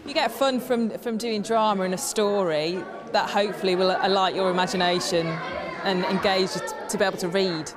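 A woman's voice: medium pitch, fairly even intonation, a little fast, a crowd in the background. Woman drama teacher amid hubbub. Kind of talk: spontaneous explanation. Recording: clear hubbub of voices behind.

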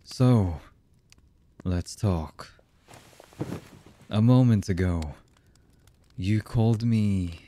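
Slow, husky, low-voiced anime villain played by a man. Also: warm tone, a little hiss or hum. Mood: quiet menace. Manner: slow, menacing, deliberate with long pauses. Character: heartless young male anime villain, menacing, cold, commanding. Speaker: voice actor. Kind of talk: ASMR roleplay, dramatic villain monologue.

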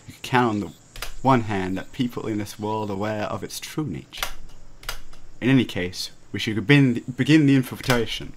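A man's voice: lowish voice, fairly quick, slight background noise. A man hosting a let's play, reading a visual novel aloud with casual asides and stumbling over the text. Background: game music.

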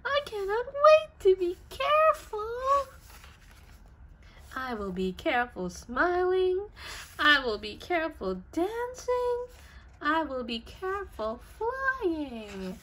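Woman voicing an excitable high-pitched cartoon elephant. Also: slow pace, full character voice. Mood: excited. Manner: exclaiming, dramatic delivery. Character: excitable, carefree cartoon elephant, eager to pretend.

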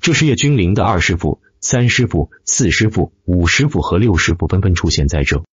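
A man's voice: low voice, lively intonation, brisk, slightly rough, no room sound, dull audio. A man reading scripted fantasy fiction as a dramatic audiobook narrator.

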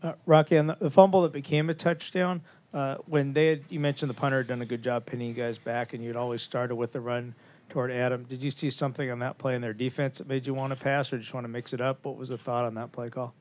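Quick reporter with a detailed question. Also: male voice, mid-range voice, flat delivery, a little mellow. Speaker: sports reporter.

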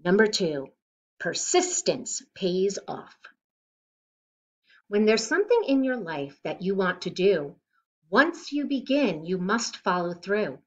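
A middle-aged woman reads a rhyming children's picture book aloud in a deliberate, expressive way, recorded at home on a device mic. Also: medium pitch. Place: living room at home, indoors. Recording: dull lo-fi webcam sound.

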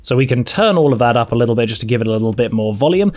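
A man's voice: mid-range voice, animated, rapid-fire, darkish tone, soft background music. A man in his twenties narrating an instructional video, explaining each step directly to viewers. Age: 20s. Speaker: audio tutorial presenter.

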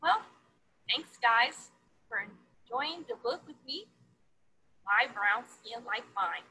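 High, very lively woman reading to children. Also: takes it slowly, slightly rough, poor audio. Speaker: children's book reader. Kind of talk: read-aloud picture book for young children.

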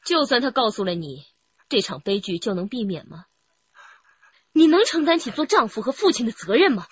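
A woman's voice: fairly high pitch, sing-song, normal speed, slightly rough, dry recording, highs cut off. An actress playing a stern, indignant woman in a radio crime drama, reproachful and impassioned.

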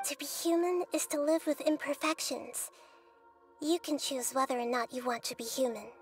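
A gentle childlike goddess. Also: female voice, sits high, normal speed, faint music behind. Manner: soft, calm and earnest. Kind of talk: video game voice acting.

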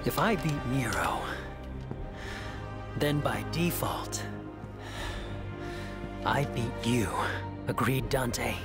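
A man's voice: medium pitch, drawn-out words, pauses often, loud music behind the voice. A man playing a cold, proud middle-aged demon warrior in a video game, speaking in a formal, haughty way. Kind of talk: video game cutscene acting.

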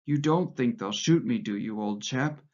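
A man's voice: mid-range voice, normal speed, a little mellow, natural voice. A man voicing a frightened, fawning middle-aged male prisoner who pleads eagerly and nearly blubbers. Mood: pleading. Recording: muffled lo-fi recording.

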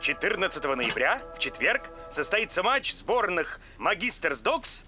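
A male TV sports announcer in a cartoon. He reads match news in a high, animated voice, with music clearly behind him. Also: normal speed. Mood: announcing. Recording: music under the voice.

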